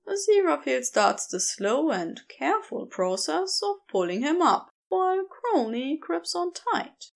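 Slow, expressive woman reading a story. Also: high-pitched. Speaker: podfic narrator. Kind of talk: scripted story narration.

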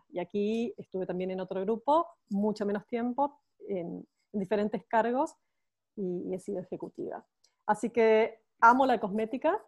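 Woman, Buenos Aires accent, forties, slightly high voice, eager storytelling, podcast guest. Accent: Buenos Aires Spanish. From Buenos Aires, Argentina. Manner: warm, enthusiastic storytelling with frequent restarts. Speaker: guest, cosmetics expert. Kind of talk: podcast interview.

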